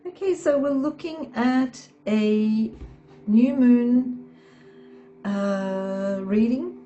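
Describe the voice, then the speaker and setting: A woman giving a tarot reading, hoarse from a sore throat, talking haltingly with many fillers and frequent "okay"s. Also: fairly high pitch, mellow, highs cut off, faint music behind. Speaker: tarot reader.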